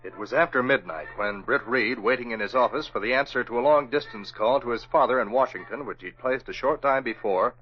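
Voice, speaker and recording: A man's voice: mid-range voice, brisk, hardly any pauses, natural voice. A man narrating as a dramatic radio serial announcer on a muffled 1940s recording. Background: music.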